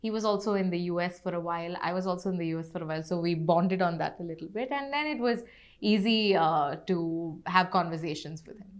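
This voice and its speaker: A woman's voice: fairly low pitch, rapid-fire. A young woman, a Tamil native speaker, answering interview questions in English in an earnest, friendly way with many fillers and restarts. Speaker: actress interviewee.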